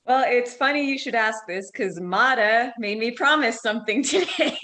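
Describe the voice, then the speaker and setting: A woman's voice: fairly high pitch, moderate pace, bright, forceful tone. A woman guest telling casual anecdotes in an informal way, quoting both sides of conversations. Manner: informal, acts out reported dialogue. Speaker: writing course graduate, guest.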